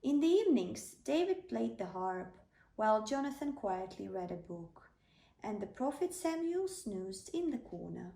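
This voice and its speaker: A woman's voice: mid-range voice, sing-song, a little slow, creaky, warm tone. A woman narrating a children's bedtime story, reading aloud as a storyteller. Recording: roomy, slightly distant microphone.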